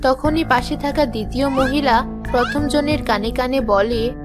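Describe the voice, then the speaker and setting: A woman's voice: sits high, unhurried. A woman narrating a children's story in a scripted storytelling style. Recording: music behind the voice.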